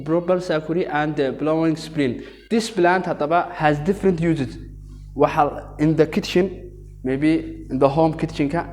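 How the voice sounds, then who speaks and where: A man's voice: fairly high pitch, darkish tone. A young man born in Yemen with Arabic as his first language gives a pharmacy class presentation, hesitant, with many restarts and mixed-language phrasing. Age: young adult. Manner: hesitant, many restarts and fillers, switches between two languages. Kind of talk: halting bilingual presentation.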